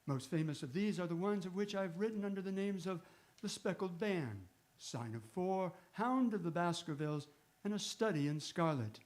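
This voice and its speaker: An actor playing a genial, admiring gentleman companion and chronicler in a radio drama, with a genteel, old-fashioned manner. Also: high voice, lively intonation, moderate pace. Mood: fond, reflective. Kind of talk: radio drama acting and narration.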